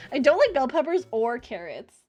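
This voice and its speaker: A woman's voice: high voice, very animated, normal speed, darkish tone, dull audio, faint music behind. A woman streaming a game and chatting casually, laughing often and apologizing a lot, switching between English and Vietnamese to greet viewers.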